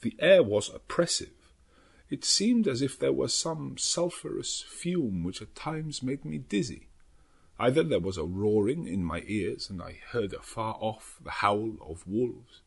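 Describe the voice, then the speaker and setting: Guy doing old professor for an audiobook, husky, grave and haunted, dramatic halting delivery. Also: mid-range voice, expressive, full character voice. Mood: solemn dread. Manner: slow, dramatic, halting foreign phrasing. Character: elderly Dutch professor, solemn, anguished vampire hunter. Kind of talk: audiobook character narration.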